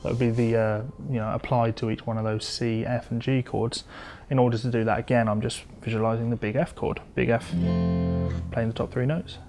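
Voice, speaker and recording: A male guitar instructor talks through a lesson in an informal, methodical way. His voice sits at an average pitch for a man. Prominent guitar playing accompanies his explanations. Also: little pitch movement, brisk. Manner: explains step by step, talking between demonstrations. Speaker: guitar teacher. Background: electric or acoustic guitar being played.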